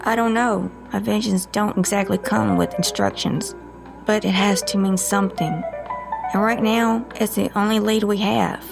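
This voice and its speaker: A woman's voice: mid-range voice, fairly even intonation, moderate pace, vocal fry, music in the background, lightly acted. An actress playing a wry, guarded psychic woman in an audio drama, with a dry, teasing, sarcastic manner. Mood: candid. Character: wry, guarded psychic woman who teases her old friend. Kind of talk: scripted audio drama dialogue.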